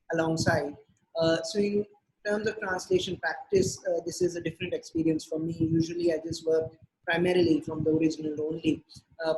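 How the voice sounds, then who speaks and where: A man whose first language is Urdu, a translator and poet answering interview questions over a video call in a measured way, with frequent filler pauses. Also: on the high side, creaky, darkish tone, low-quality recording.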